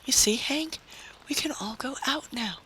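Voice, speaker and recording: Man voicing a fervent, high-pitched, insistent wife. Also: animated, a little slow, bright, forceful tone, exaggerated voice, poor audio. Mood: triumphant pleading. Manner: ringing, triumphant, insistent. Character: fervent, confident young wife, defiantly hopeful. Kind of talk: dramatic story reading. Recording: faint background noise.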